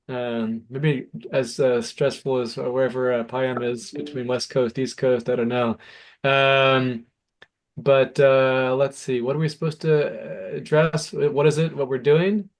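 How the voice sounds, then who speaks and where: A man's voice: mid-range voice, little pitch movement, unhurried. A man from the United States, an app founder, talking casually on a video call with lots of hesitant fillers.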